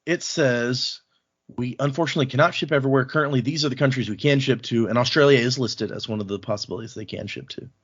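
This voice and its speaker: A man's voice: mid-range voice, quick pace, slightly rough, lo-fi sound. A man chatting casually as a podcast host.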